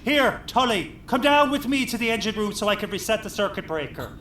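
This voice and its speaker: A man's voice: high-pitched, animated, brisk, pushed and bright, slight background noise. A man playing a practical, proud ship's engineer with a wry, fond grumble in conversational audio drama. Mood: weary. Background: music.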